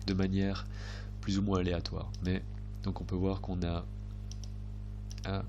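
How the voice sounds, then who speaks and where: A low-pitched man, a little stuffed up with a cold, talks through charts off the cuff. He hesitates and rephrases as he thinks aloud. A faint hum and some noise sit under his voice. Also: completely flat delivery, unhurried, highs cut off. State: slightly congested with a cold. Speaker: trading video host. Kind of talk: unscripted chart commentary.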